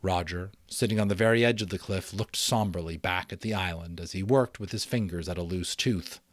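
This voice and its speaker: A man reading a story aloud as dramatic, expressive narration. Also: deep voice, brisk, no room sound, studio quality. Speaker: audiobook reader.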